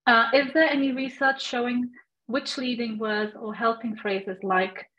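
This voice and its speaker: A woman's voice: fairly high pitch, steady tone, measured pace, a bit bright. Young woman moderating over dull call audio. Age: young adult. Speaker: webinar moderator. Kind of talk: informal Q&A moderating, relaying questions. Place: indoors, on a video call.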